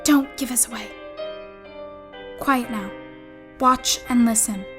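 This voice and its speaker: An actress playing a protective, determined young big sister in a period melodrama, hushed and urgent. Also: female voice, fairly high pitch, takes it slowly, soft background music. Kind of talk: period melodrama audio drama acting.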